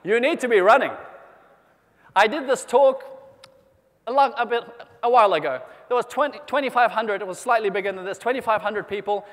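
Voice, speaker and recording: A man from New Zealand giving an energetic motivational keynote, rallying the audience with questions and calls for applause. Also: high voice, fairly quick. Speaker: motivational speaker. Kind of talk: keynote speech to a convention audience. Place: large convention hall. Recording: long hall reverb.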